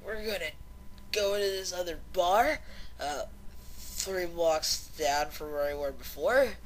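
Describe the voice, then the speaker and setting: A woman's voice: on the low side, slow, a little hiss or hum, poor audio. A woman playing a drunk, lovesick young mare disc jockey who slurs and rambles melodramatically in a fan fiction audio drama. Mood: excited, slurred. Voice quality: slurring.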